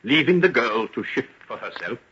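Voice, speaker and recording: An actor playing a formal, impatient middle-aged gentleman lawyer in an old radio drama. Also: mid-range voice, lively intonation, moderate pace, natural voice. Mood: shocked, impatient. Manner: formal, clipped, indignant. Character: stiff, impatient gentleman lawyer, a disapproving father. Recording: dull, noisy old radio recording with clicks.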